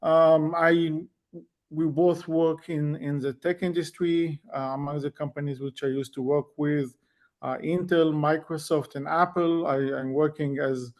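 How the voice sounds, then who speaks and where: A man in his fifties with an Israeli accent, speaking as a school board candidate at a forum with a halting, wry, self-correcting delivery. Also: on the high side, darkish tone. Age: 50s.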